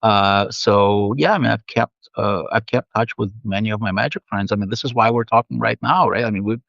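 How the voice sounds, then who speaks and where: A man's voice: on the low side, a little fast, gravelly, fairly bright tone, no echo, muffled recording. A man whose first language is Russian, from the Soviet Union, a guest chatting thoughtfully on a video call with many fillers and restarts. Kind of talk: casual interview chat.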